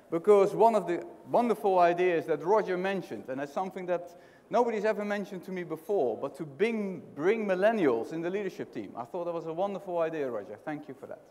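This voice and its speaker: Keynote speaker: middle-aged man from the Netherlands with a Dutch accent, chatty, high voice, echoing hall. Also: a little mellow. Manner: conversational, restarts often, asks the audience questions. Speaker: conference speaker. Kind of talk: keynote talk. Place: large hotel conference hall. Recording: hall reverb.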